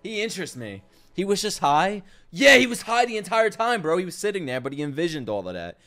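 Animated, slangy male streamer reacting. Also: high-pitched, fairly quick. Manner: profane, slangy, excitable. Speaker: streamer reacting to game trailers. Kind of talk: casual live-stream reaction commentary. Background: game trailer music.

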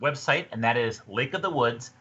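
A man's voice: medium pitch, moderate pace, heavy background noise. A middle-aged man in his fifties chatting in a folksy, casual way as a guest giving a fishing report over a video call. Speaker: podcast guest, fishing tourism representative. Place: small room indoors, on a video call. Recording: dull, lo-fi video call audio.

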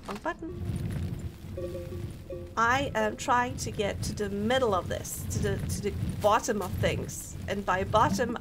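A young woman with a Polish accent, a Polish native speaker chatting bubbly on a gaming live stream and greeting viewers. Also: fairly high pitch. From Poland. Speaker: VTuber streamer. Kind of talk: casual live-stream chat while gaming.

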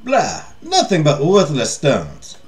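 Man voicing a haughty, jaded storybook king, animated. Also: a little high, slow, voice pushed up, full character voice. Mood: bored, jaded. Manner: imperious, dismissive. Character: haughty, jaded, grumpy king who scorns magic. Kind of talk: storybook character reading.

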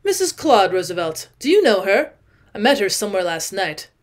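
A woman voicing a stout, drunk middle-aged man who talks loudly and emphatically and keeps repeating himself. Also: mid-range voice, very animated, moderate pace, natural voice. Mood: excited drunk. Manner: loud, emphatic, rambling repetition.

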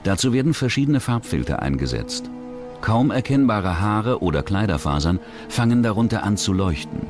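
A man's voice: fairly low pitch, sing-song, normal speed. A man reading scripted true-crime documentary narration as the narrator. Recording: music under the voice. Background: background music.

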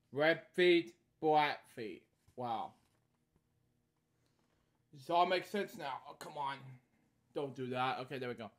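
A man in his thirties hosting a bedtime story series, reading a children's book aloud in short halting lines with casual, repetitive asides. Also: on the high side. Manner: halting, repeating stock phrases between short read lines. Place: small indoor room at home.

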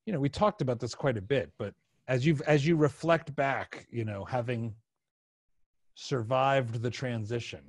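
A man in his forties hosting a casual interview, halting and rephrasing his questions as he goes. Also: medium pitch, dry recording, studio quality. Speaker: podcast host. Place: small home studio room, indoors. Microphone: close podcast microphone on a video call.

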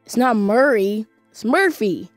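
A woman's voice: a little high, lively intonation, unhurried, dry recording, soft background music. A woman voice acting a cautious, frightened spaceship survivor in an amateur game roleplay. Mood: wary, grave.

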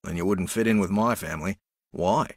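An actor playing an earnest, spacey male rugby champion in a video game, with a deadpan, halting, rambling delivery. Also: sits low, no echo, studio quality. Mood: guileless, matter-of-fact. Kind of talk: video game character dialogue.

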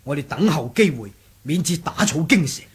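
A man's voice: on the high side, lively intonation, moderate pace, breathy edge. A man with a Hong Kong Cantonese accent playing a deferential rebel soldier reporting formally to his leader in a radio drama. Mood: grave, reporting. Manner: formal, storytelling, respectful. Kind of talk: 1980s radio drama acting.

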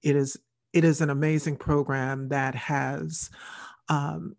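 An older woman hosting an unscripted interview, speaking deliberately and repeating words for emphasis. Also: deep voice, little pitch movement, creaky, darkish tone. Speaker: interview host. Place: room at home, on a video call.

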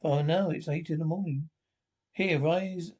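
A man reading the part of an anxious, protective scientist father in a radio horror script, haltingly and plainly. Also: a little high, steady tone, darkish tone, slightly rough. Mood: gentle.

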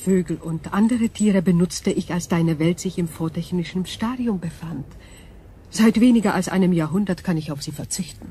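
An actress voicing an ancient, logical female crystal being in a radio drama, speaking in a measured, formal and deliberate way. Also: fairly low pitch, dark tone. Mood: measured, reassuring. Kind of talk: German radio drama acting. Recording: clear background noise.

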